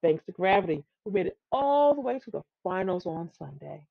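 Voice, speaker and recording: A woman's voice: fairly low pitch, very animated, brisk, creaky, dry recording, narrow, tinny audio. A woman reading a children's tall tale aloud, expressively and with some stumbles over the words. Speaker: storybook reader.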